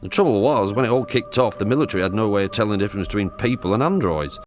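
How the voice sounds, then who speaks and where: A man's voice: lowish voice, animated, racing, lightly acted. A suspicious, sardonic working man of the future, acted by a man in an audio drama. He speaks bluntly and colloquially, with very varied intonation over light background music. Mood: scornful, explaining. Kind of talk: science-fiction audio drama.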